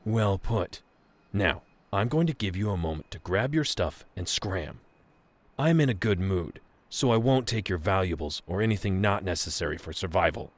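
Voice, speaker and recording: An actor playing a smug, bossy gang leader in an audio drama, domineering and condescending. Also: male voice, sits low, lively intonation, normal speed. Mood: smug, commanding. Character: gang leader grunt, menacing, smug, bossy adult man.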